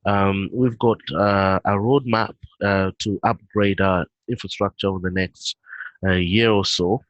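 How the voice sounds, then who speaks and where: A British man on a video call, explaining his work in a relaxed way with frequent fillers. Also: low-pitched, unhurried, no echo, poor audio. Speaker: guest, head of operations.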